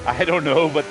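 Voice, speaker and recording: A man's voice: on the high side, background noise. A man, losing his voice, commentating a live online race in a rambling, blunt way with lots of ums. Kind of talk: live sim racing commentary.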